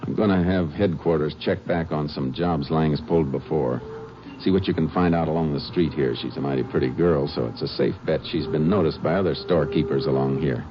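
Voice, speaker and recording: Shrewd Texas lawman, very low pitch, matter-of-fact, terse, 1950s radio drama, music on a dull old recording. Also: brisk. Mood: businesslike. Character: steady, shrewd Texas Ranger, male lawman narrating his case.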